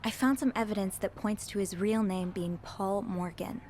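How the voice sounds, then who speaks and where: A woman plays a curious young hotel maid who talks earnestly and a little hesitantly. Her voice sits in a middle pitch. A faint hiss lies under the game audio. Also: normal speed, darkish tone, lightly acted. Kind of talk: video game voice acting.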